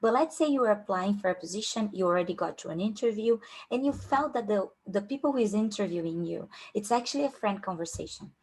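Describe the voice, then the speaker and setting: A woman's voice: mid-range voice, animated, fast talker, hardly any pauses, a little mellow, husky, poor audio. Young Brazilian-accented woman coaching, casual workshop talk, lots of fillers. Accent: Brazilian Portuguese. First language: Portuguese. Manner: informal, many fillers and restarts. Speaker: career coach.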